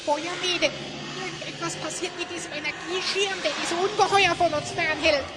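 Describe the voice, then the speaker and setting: A woman's voice: very high voice, fairly even intonation, moderate pace, heavy background noise. A woman playing an anxious small sidekick in a German children's audio drama, fretful and full of questions. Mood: alarmed.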